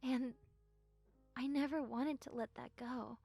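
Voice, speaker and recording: A woman playing a shy, sweet young goth best friend in an intimate audio roleplay, soft-spoken and breathy, with hesitant, stammering speech. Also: high-pitched, steady tone, takes it slowly, no room sound, soft background music, exaggerated voice. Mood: nervous, bashful.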